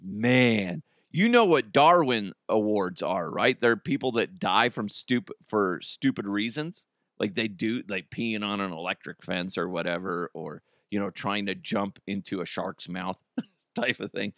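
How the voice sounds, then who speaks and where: Hoarse, raspy-voiced man riffing. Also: fairly low pitch, measured pace, a bit bright, no room sound, clean studio sound. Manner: rambling, laughs at his own jokes. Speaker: podcast host. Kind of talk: casual comedic commentary.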